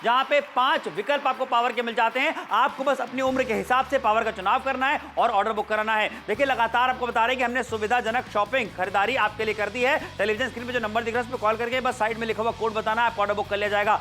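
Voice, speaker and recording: A man's voice: very high-pitched, fairly even intonation, fast, hardly any pauses, sharp tone, slight background noise. A man presenting a product on shopping TV, insistent and repeating his selling points. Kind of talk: home-shopping pitch.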